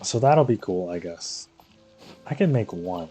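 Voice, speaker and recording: A man's voice: low-pitched, expressive, measured pace, dark tone, slightly rough, soft background music. A tired man chatting casually on a game livestream, rambling and slangy, repeating himself as he goes. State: tired after very long stream.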